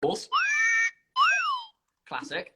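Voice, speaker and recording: A man's voice: drawn-out words, shouting, very noisy. A man hosting an informal live competition stream, chatty and self-deprecating, bumbling along as he presents. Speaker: competition livestream host.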